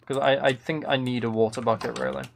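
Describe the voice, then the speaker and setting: A man with a creaky voice chats casually as a live streamer while gaming, half talking to himself and half to his viewers. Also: mid-range voice, steady tone, fast talker. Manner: muttering to himself between replies to chat. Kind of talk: casual chat while playing a video game on a livestream. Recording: faint noise with keyboard clicks.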